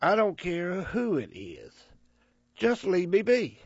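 A man's voice: high-pitched, wildly swooping pitch, slow pace, gravelly, breathy edge, no echo, heavily acted. A man voicing a wounded young soldier who is bitter and despairing after learning he is blind. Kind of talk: audio drama acting. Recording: muffled lo-fi recording.

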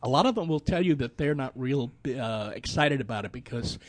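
An older man co-hosting a radio show, talking casually and explaining things in a halting way. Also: medium pitch, lively intonation, no room sound. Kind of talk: casual studio talk. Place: radio studio.

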